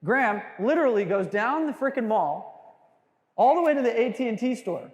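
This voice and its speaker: A man giving a lively sales training talk to a live audience, joking with the crowd and asking for hands. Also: extremely high pitch, moderate pace. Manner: animated, jokey, quizzes the crowd. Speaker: sales trainer speaking to a room. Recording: long hall reverb.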